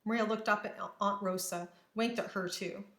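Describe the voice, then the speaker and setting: A woman reads a children's storybook aloud in a mid-pitched voice. Her narration is expressive and dramatic, with careful phrasing. The room around her is clearly reverberant. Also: normal speed. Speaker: storybook reader.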